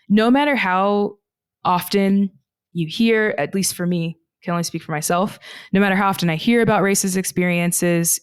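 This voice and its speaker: A woman guest on a podcast, telling personal travel stories in an earnest, reflective way and slowing down on the heavy points. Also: lowish voice, smooth, dry recording. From United States (Texas).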